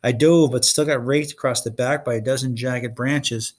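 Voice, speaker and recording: A man reading a children's fantasy novel aloud in expressive audiobook-style narration. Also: medium pitch, moderate pace. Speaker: reader of a children's fantasy novel read-along.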